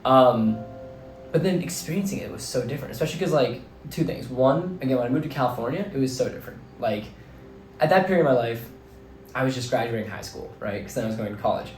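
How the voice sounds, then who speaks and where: A young man in his twenties from New Jersey, his voice slightly hoarse, talking through his thoughts in a casual, rambling way full of fillers. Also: medium pitch, expressive, fast. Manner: rambling, filler-heavy, earnest. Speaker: vlogger and teacher. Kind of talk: casual reflective monologue. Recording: roomy echo, faint background music.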